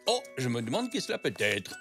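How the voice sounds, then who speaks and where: A man voicing a kindly, patient old grandfather zebra in a French children's cartoon, gentle and encouraging. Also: medium pitch, wildly swooping pitch, fairly quick, music in the background. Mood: kindly, helpful. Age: older.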